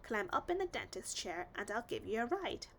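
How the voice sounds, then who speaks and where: A woman reads as a reassuring female dental assistant in a children's storybook. She explains things playfully in a high, very lively voice with faint noise behind it. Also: moderate pace, gravelly, heavily acted.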